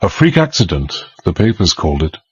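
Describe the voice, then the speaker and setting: An actor playing a reflective adventurer, giving measured first-person narration in a radio drama. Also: male voice, deep voice, sing-song, breathy edge, dull audio, heavily acted. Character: reflective male adventurer recalling his past.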